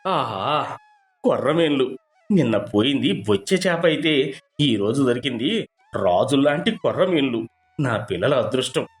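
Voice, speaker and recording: A woman's voice: extremely low pitch, animated, unhurried, long pauses, voice pushed down. A woman voicing a poor, humble and devoted fisherman father, speaking earnestly in a storybook tale. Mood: joyful.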